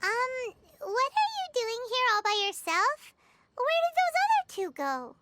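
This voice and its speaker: Squeaky, chirpy little fairy girl. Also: big pitch swings, a little slow, heavy background noise, heavily acted. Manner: inquisitive, childlike, exclamatory. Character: tiny childlike fairy companion girl, curious and chirpy. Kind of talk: scripted video game dialogue.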